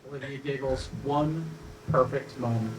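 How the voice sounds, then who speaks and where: A man's voice: mid-range voice, steady tone, normal speed. A man narrating stage directions and scene headings at a screenplay table read. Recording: echoey room with clear background noise.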